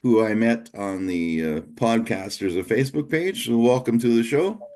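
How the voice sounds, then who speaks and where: A man's voice: mid-range voice, animated, moderate pace, darkish tone. A man in his forties hosting a podcast, talking in an easygoing, candid way. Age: 40s. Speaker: podcast host. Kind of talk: casual interview chat.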